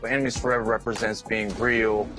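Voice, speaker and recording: A man from the United States, a clothing designer, talking in a casual, quick, conversational way in an interview. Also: on the low side, level tone. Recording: soft music underneath.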